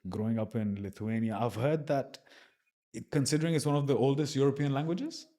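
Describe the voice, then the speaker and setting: A man's voice: mid-range voice, a little fast, mellow. A man hosting a podcast interview, reading a scripted intro and then asking casual questions with fillers. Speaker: podcast host.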